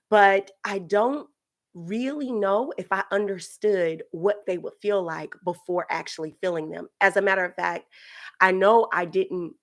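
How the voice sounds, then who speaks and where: A woman with a low, gravelly voice, raspy as she gets over a cold. She talks through a childbirth lesson in a chatty, reassuring way, full of little fillers. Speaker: childbirth educator. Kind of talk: casual instructional podcast talk.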